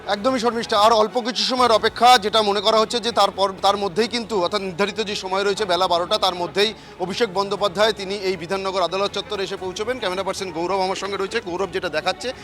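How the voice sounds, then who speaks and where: A man's voice: sits high, steady tone, racing, fairly bright tone, soft background music. A man with a West Bengal accent reporting live from the street as a field news reporter, in a wordy running explanation. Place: outdoors in a city, outside a courthouse.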